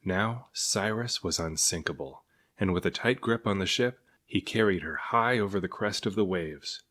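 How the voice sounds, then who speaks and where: A man with a low-pitched voice narrates a children's picture book. He reads it aloud as scripted storytelling, his voice sitting well down in the male range. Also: normal speed, fairly bright tone. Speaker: storybook reader.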